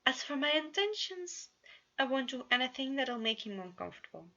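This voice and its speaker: A woman's voice: high voice, big pitch swings, normal speed, bright, forceful tone, highs cut off, pitched above the performer's own voice, exaggerated voice. A woman voicing a dreamy, theatrical young prince in love, in a story reading. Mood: earnest. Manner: theatrical, sighing.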